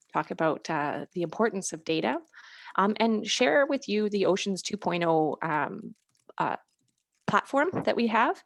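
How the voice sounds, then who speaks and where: A woman presents informally in a low voice with plenty of vocal fry. She speaks haltingly, and the sound is close and dry. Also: dry recording. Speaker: presenter, ocean education coordinator. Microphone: video call.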